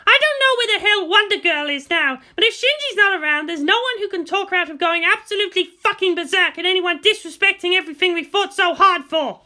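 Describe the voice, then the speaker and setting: A woman's voice: very high voice, a little fast, few pauses, pushed and bright, pitched above the performer's own voice, exaggerated voice. A woman voicing a wounded, hot-tempered, vengeful young female fighter in a dramatic story, snarling and emphatic. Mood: pained, furious.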